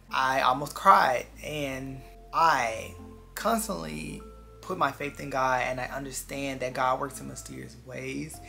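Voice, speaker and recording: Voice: medium pitch, vocal fry, sharp tone. A vlogger in their twenties chatting casually and reflectively to viewers in an earnest, confiding way, repeating phrases for emphasis. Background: background music.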